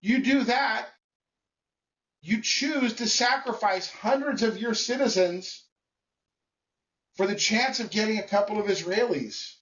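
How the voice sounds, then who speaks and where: A man's voice: high-pitched, fairly bright tone. Blunt, emphatic man, distant and dull. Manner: emphatic, blunt and colloquial, with pauses between short phrases. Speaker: vlogger. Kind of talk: personal opinion monologue to camera.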